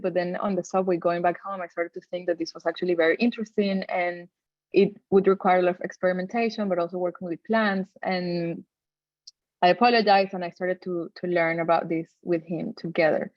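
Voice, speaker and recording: A woman from Venezuela with a Venezuelan Spanish accent gives an informal presentation in a halting, conversational way, often correcting herself. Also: lowish voice, dry recording, lo-fi sound. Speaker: textile designer giving a talk.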